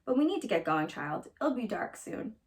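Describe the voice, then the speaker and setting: Woman as an obliging, brisk blackbird. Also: fairly high pitch, big pitch swings. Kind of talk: storybook read-aloud.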